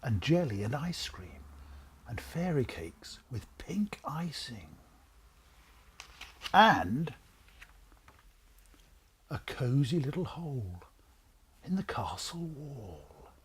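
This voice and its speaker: A man reading a children's storybook aloud in a theatrical, suspenseful way, with a husky voice that drops into breathy whispers. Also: mid-range voice, sing-song, slow, long pauses, a little hiss or hum. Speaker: author reading own book.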